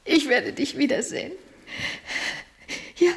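An actress playing an anxious, melancholy woman in a radio drama, speaking haltingly and solemnly. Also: high voice, creaky, heavily acted. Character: anxious, melancholy woman facing long sleep. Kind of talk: German radio drama acting. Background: music.

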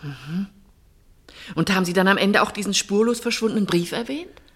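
A woman's voice: medium pitch, expressive, normal speed, slightly rough. An actress playing an older mother, composed but anxious and probing, with formal phrasing in a German radio play. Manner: formal, questioning.

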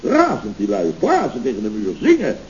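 Pompous, hot-tempered boss, muffled old recording. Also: male voice, medium pitch, huge pitch swings, normal speed, darkish tone, a little hiss or hum. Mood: indignant. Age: middle-aged. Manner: bombastic, melodramatic, flowery speech. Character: pompous, hot-tempered contractor boss, sentimental middle-aged uncle. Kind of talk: Dutch radio comedy serial acting from around 1970.

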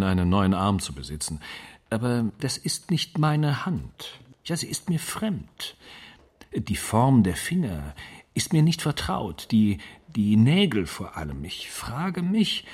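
Brooding hospital patient, radio play; low, raspy, stammering, bitter. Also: male voice, very animated, slow pace, darkish tone, exaggerated voice. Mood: uneasy, estranged. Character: unsettled, bitter male patient with a transplanted arm. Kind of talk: German radio crime drama acting.

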